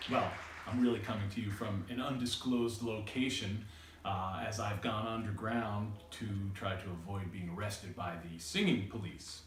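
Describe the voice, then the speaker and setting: A singer-songwriter man casually introducing his song in a halting, reminiscing way. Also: fairly low pitch, reverberant, distant mic, a little hiss or hum.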